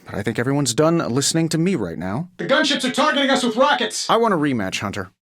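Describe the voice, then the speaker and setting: An actor voicing a cocky, wisecracking genius inventor superhero in a video game, with an American accent and a sarcastic, glib delivery. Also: male voice, fairly high pitch, wildly swooping pitch, fast talker, a bit bright, no echo, studio quality. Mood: dry sarcasm, then combat taunting. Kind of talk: video game character voice lines.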